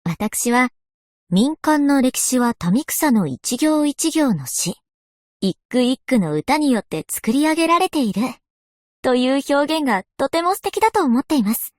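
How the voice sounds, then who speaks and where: An earnest young woman historian for a game. Her voice is high and lively in melody, recorded dry and close. She speaks with very formal, genteel courtesy. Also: a little slow, no echo. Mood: polite, earnest. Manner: very formal, courteous, refined phrasing. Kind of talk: scripted game character voice lines.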